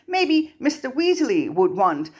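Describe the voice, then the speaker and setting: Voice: sits high, sing-song, takes it slowly, darkish tone, slightly rough, pitched above the performer's own voice, full character voice. A narrator voicing an unsure but eager teenage wizard boy in a storybook, hesitant and questioning.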